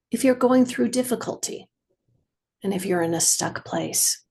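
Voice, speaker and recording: A woman meditation teacher leading a guided practice in a measured, soothing way, with long pauses and a slightly husky voice. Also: mid-range voice, a little mellow.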